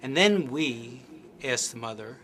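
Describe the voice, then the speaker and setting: A male researcher giving a measured documentary explanation of infant studies. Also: mid-range voice, expressive, sharp tone. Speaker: developmental researcher. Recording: clip with crowd noise behind.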